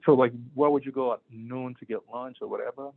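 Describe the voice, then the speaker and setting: A man's voice: mid-range voice, steady tone, fairly quick, vocal fry, a little hiss or hum. A middle-aged man from Ghana, a physician giving a casual talk to students, rambling with many fillers and restarts. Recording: tinny phone-like sound.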